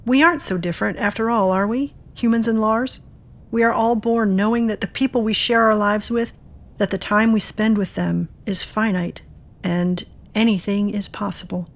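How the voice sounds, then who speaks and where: A woman's voice: mid-range voice, fairly even intonation, normal speed, close to an everyday voice. A woman playing an earnest, reflective woman searching for answers in an audio drama. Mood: reflective, assured.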